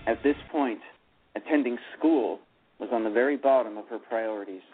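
A man reads a novel aloud as narrator, at a steady pace and often catching himself to correct a word. His voice is husky. The audio is narrow and tinny, like a phone call. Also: medium pitch, moderate pace, soft background music. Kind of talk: reading a novel aloud on radio. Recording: tinny phone-line radio.

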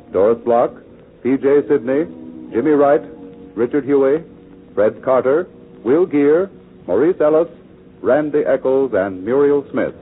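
A man's voice: medium pitch, narrow, tinny audio. A man working as a radio announcer, reading scripted credits in a formal 1940s broadcast style.

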